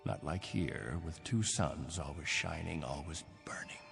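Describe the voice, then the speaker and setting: Aging male starship captain, radio drama. Wistful and deliberate, wide-ranging delivery, reverberant, music underneath. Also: a bass voice, expressive, vocal fry, a bit bright, full character voice. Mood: wistful, nostalgic. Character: aging spaceship captain, wistful leader of stranded colonists.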